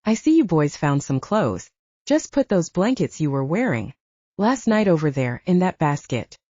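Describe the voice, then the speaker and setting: A woman voicing a hospitable, matter-of-fact female animal keeper in an audiobook, brisk and practical. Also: low voice, big pitch swings, dark tone, dry recording. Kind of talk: audiobook character dialogue. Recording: dull, lo-fi, narrow sound.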